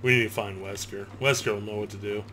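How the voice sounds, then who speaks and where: 30 yr old dude doing gameplay commentary, cussing and complaining, game noise in back. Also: male voice, lowish voice, fairly even intonation, quick pace, sharp tone. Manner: sweary, griping, self-deprecating. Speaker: gamer, video host. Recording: clear game ambience noise behind. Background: horror video game sound.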